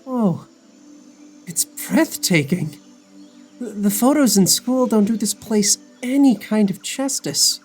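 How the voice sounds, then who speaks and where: Young boy traveller, gentle and wide-eyed, animated intonation, for audio drama female actor. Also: medium pitch, takes it slowly, soft background music. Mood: awed.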